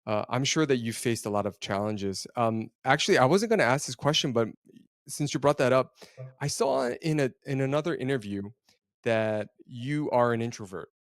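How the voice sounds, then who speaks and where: A man's voice: mid-range voice, lively intonation, moderate pace, no echo, clean studio sound. A man hosting an interview, asking long, chatty, rambling questions. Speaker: podcast interviewer.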